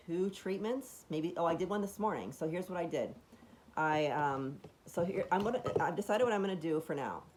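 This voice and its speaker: A woman with a raspy, deeper voice chatting casually at home as she demonstrates a device, rambling enthusiastically with many fillers and restarts. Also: a little mellow.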